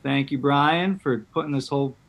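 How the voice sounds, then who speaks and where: A man's voice: medium pitch, moderate pace, gravelly, fairly bright tone. A man hosting a casual interview over a video call. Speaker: host from a theatre group, interviewer.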